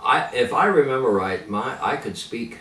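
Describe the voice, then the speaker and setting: An older man who grew up speaking Pennsylvania Dutch, reminiscing slowly with many pauses and fillers. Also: mid-range voice, animated, fairly bright tone. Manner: halting, many fillers and restarts. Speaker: interviewee. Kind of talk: reminiscing in conversation. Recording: echoey room, mic at a distance, faint noise.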